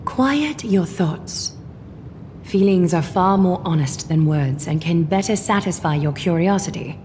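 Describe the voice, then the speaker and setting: A woman playing a gracious, composed noblewoman in a video game, speaking formally and with soft command. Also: lowish voice, lively intonation, slight background noise. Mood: soothing. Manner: formal, measured, softly commanding. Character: poised, courteous noblewoman leader, gracious and composed. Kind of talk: scripted game character dialogue. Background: game music.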